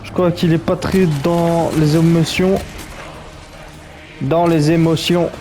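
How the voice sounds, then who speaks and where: A man's voice: a little high, level tone, takes it slowly, mellow, soft background music. A man commenting on a game as he plays, in a chatty, joking, reactive way. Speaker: gaming streamer. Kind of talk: let's play commentary.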